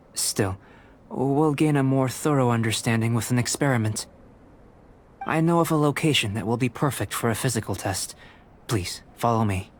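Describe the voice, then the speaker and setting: Man playing a calm scholarly young alchemist. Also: medium pitch, close to an everyday voice. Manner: measured, polite, scholarly. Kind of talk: fan dub of game dialogue.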